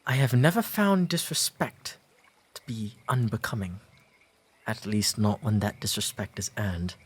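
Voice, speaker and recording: A man with an English accent playing a courteous, shy, bookish 19th century gentleman in a romantic audio drama, speaking formally and gently. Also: fairly low pitch, a little slow, slightly rough. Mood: earnest, reassuring. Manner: formal, polite old-fashioned diction with hesitant, gentle delivery.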